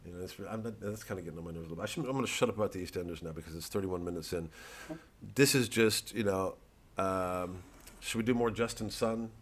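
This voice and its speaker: A male comedian talks casually in a fairly low voice. He rambles from tangent to tangent, halting and restarting as he goes. Swearing is sprinkled freely through the monologue. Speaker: comedian, host.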